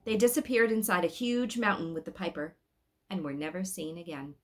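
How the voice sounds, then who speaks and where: A woman's voice: on the low side, lively intonation, brisk. A woman hosting a read-aloud, narrating a storybook expressively with casual asides. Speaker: storybook reader, host.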